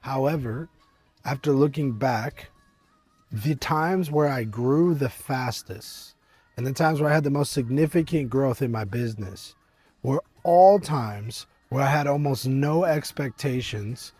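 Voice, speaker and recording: A young man with a husky, deep voice, talking earnestly to camera outdoors at a winery, rambling with many fillers and restarts as a business coach. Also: a little slow, warm tone, no room sound. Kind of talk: casual motivational talk to camera. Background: faint eighties music.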